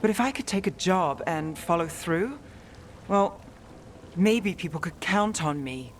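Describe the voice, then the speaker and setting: A woman's voice: fairly low pitch, lively intonation, a little slow, a little hiss or hum. Dry, weary female detective, rainy backdrop. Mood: weary, troubled. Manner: dry, understated wit. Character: weary, wry, guarded young female detective in a fantasy city. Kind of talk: video game voice acting.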